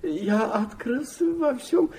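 An actor playing a sentimental, frail old prince in a radio drama, genteel and tremulous in manner. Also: male voice, extremely high pitch, lively intonation, slow pace, a little mellow, full character voice. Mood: rapturous, tender. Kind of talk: Russian radio drama acting.

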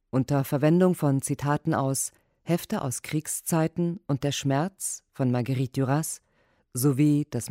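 Slow, mellow male radio announcer. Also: fairly high pitch, no room sound, clean studio sound. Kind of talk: scripted credits announcement. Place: dry studio.

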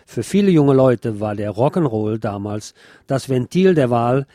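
A man narrating a scripted obituary tribute as a radio host, in a measured, formal reading manner. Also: medium pitch, lively intonation, dark tone, dry recording, studio quality.

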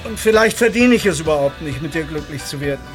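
A man's voice: on the high side, animated, fast talker, over music, natural voice. An actor playing an earnest young man in love, imploring in a German audio drama. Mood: pleading, earnest.

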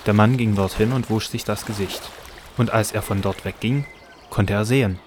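A man reading scripted scripture narration for an audio drama in a measured, matter-of-fact way. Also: fairly low pitch, background noise.

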